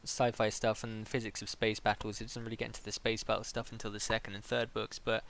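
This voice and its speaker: A man's voice: fairly low pitch, completely flat delivery, fast talker, vocal fry, fairly bright tone. A man hosting a live stream, chatting casually as an interviewer. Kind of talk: casual livestream interview chat.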